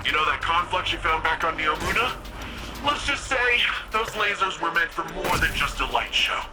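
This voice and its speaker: A man's voice: on the high side, animated, normal speed, lightly acted. A man voicing a brash, reckless young guardian. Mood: defiant. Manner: defiant, impulsive, earnest. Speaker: ally character in a video game. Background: game music.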